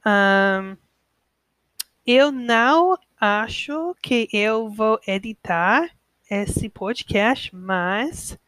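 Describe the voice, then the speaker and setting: Young American woman hosting a podcast, speaks slowly and hesitantly as a learner, clear voice, dry close sound. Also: on the high side, animated, fairly bright tone, no echo. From United States. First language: English. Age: 20s. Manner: hesitant learner, frequent pauses and self-corrections. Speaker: podcast host. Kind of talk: casual podcast monologue.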